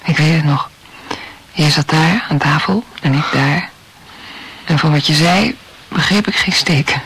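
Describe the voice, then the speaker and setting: Hurt young girlfriend in a radio play, husky, plaintive, kind of accusing. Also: deep voice, measured pace, a bit bright, exaggerated voice, rough recording. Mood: reproachful. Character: hurt, reproachful young woman in love. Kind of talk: intimate radio play dialogue.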